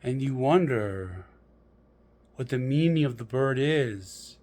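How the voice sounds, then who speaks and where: A man narrating a guided meditation in a deliberate, measured storytelling manner with pauses. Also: medium pitch, expressive, darkish tone.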